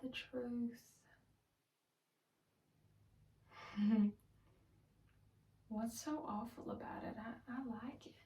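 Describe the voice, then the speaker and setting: A woman playing a wounded, bitter wife in a dramatic stage monologue, speaking in halting, broken phrases. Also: fairly high pitch, steady tone, dark tone. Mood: aching. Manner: halting, broken phrases with heavy pauses, at times whispered. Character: wounded, bitter Southern wife confessing to her husband. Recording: roomy echo, distant microphone.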